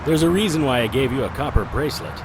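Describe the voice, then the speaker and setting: Man acting a cocky, animated occult investigator. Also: mid-range voice, fast, background noise, lightly acted. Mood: flippant. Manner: flippant, smug, theatrical. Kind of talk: audio drama acting.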